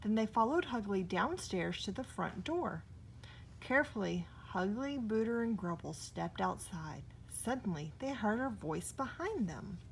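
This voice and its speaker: Female storybook narrator, unhurried and very expressive, creaky edge, audible background noise. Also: mid-range voice, rough recording. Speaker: storybook reader.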